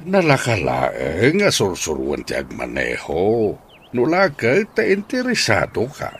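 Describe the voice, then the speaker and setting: A very low-voiced old grandfather, played by a man in a radio drama. He is stern yet generous, with husky tones and lively swings in pitch. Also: a little slow, full character voice. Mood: matter-of-fact.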